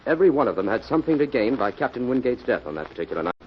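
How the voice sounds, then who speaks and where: An actor playing a shrewd, commanding detective in a 1940s radio mystery. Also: male voice, medium pitch, sing-song, very fast, natural voice. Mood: measured, explaining. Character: Jim, shrewd, methodical, commanding male detective hero. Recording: noisy, muffled old-time radio recording.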